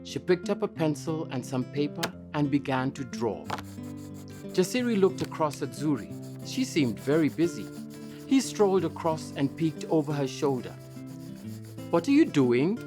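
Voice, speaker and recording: A woman's voice: low-pitched, lively intonation, normal speed. A woman narrating a scripted children's story as a storybook narrator, with music behind her.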